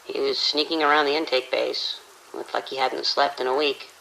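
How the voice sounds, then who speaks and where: Nervy, insistent male morgue attendant for horror audio drama, played by a man. Fry in the voice, faint background noise. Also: mid-range voice, level tone, normal speed, bright, forceful tone, poor audio. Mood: harried, unsettled. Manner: uneasy, insistent. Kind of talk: radio drama acting.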